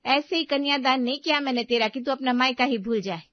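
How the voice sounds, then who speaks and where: A woman's voice: sits high, a little fast, no room sound, muffled recording, natural voice. A woman voicing a hurt, reproachful older mother in a family drama. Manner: reproachful, plaintive. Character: hurt, possessive older mother of married daughter.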